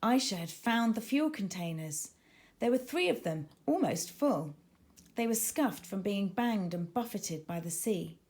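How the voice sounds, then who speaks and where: A woman reading her children's book aloud as a dramatic, suspenseful and expressive narrator. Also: medium pitch, measured pace. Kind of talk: expressive scripted story narration.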